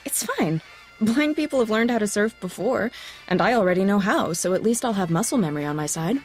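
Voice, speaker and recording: A woman acting a headstrong, restless blind high school girl in an audio drama, talking casually and naturally. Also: lively intonation, brisk, faint music behind, close to an everyday voice. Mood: determined. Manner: casual, conversational.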